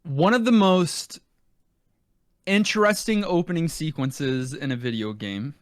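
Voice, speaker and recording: A man's voice: on the high side, unhurried, no room sound, clean studio sound. A man co-hosting a podcast in casual, teasing conversation about video games. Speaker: podcast co-host.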